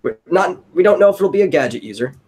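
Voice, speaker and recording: A man's voice: sits high, lively intonation, fast, a little mellow. A man hosting a casual brainstorming chat, thinking aloud in a loose way. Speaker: host of a brainstorming stream. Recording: noticeable background noise.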